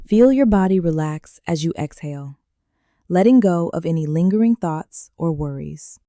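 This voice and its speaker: A woman leading a guided meditation in a calm, gentle way, with slow soothing instructions and long pauses. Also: lowish voice, no room sound, clean studio sound.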